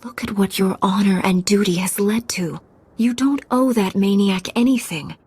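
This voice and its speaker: An actress playing a resolute, merciful Jedi Knight in a video game, speaking in an earnest, composed way. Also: female voice, medium pitch, moderate pace, darkish tone, breathy edge, lightly acted. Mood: compassionate. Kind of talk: video game dialogue acting.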